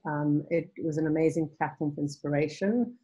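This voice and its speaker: Middle-aged woman on a video call, low voice, lots of ums, thinks out loud. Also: steady tone, normal speed, breathy edge, lo-fi sound. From South Africa. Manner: thoughtful, frequent ums and restarts. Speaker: guest, nonprofit founder. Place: home room with bookshelves.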